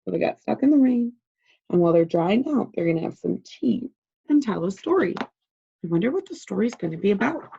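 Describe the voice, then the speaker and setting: A woman teacher doing a read-aloud for young children, deliberate and encouraging as she prompts them with simple questions. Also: medium pitch, very animated, vocal fry, mellow, husky, dull audio.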